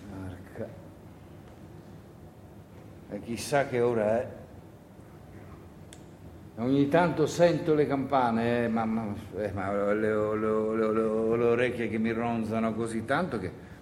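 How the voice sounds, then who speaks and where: A man's voice: fairly low pitch, steady tone, unhurried, long pauses, a little mellow, close to an everyday voice. In a roomy, echoing space with faint noise, a man acts out a tired wartime partisan alone with his thoughts. He mutters, exclaims and cuts himself short in a theatrical radio monologue, worn down and hungry. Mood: weary muttering. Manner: rambling, muttering, self-interrupting, exclaiming.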